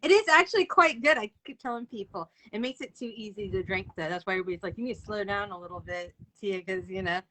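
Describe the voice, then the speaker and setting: A woman's voice: medium pitch, fast talker, hardly any pauses, bright, forceful tone, rough recording. A woman hosting an author interview livestream, chatting casually and informally with viewers as she goes.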